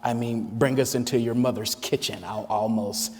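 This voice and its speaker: A man moderating a campus talk, posing a long question with many pauses and fillers. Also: medium pitch, fairly even intonation, a little mellow, long reverb tail, soft background music. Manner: hesitant, many fillers while framing a question. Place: campus lecture hall.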